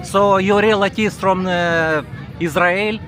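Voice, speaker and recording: A man's voice: sits high, fairly even intonation. Russian-accented man at a noisy festival. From Far East Russia. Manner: halting non-native English, curious questions, friendly laughs. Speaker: travel vlogger. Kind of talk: casual street interview. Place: crowded outdoor festival. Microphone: handheld camera. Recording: outdoor crowd and music noise. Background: loud crowd and music.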